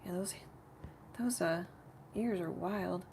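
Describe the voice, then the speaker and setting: Lady doing a live paint along, kinda rough creaky voice, rambling to herself, faint studio noise behind. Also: lowish voice, slow pace, a little mellow. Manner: rambling, thinking aloud while working. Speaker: painter hosting a live art tutorial. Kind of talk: casual live painting demonstration chat. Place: home art studio.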